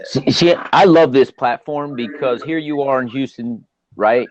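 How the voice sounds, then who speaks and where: A man's voice: mid-range voice, measured pace, darkish tone, lo-fi sound. A man hosting a live stream chat, enthusiastic and encouraging, with frequent restarts. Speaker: host of a live stream show.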